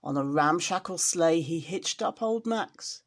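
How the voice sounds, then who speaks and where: Slow-paced woman reading a storybook. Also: lowish voice, lively intonation. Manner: dramatic, drawn-out emphasis on repeated words. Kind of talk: expressive children's storybook reading.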